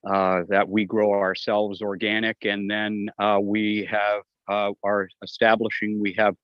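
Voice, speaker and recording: A man with a lowish voice calls in and speaks in halting bursts, filling gaps with "uh". The audio is dull and lo-fi, with a dry, close sound. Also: monotone, clear, resonant tone, dry recording. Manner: halting, many "uh" fillers. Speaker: remote caller. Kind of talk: casual call-in remarks.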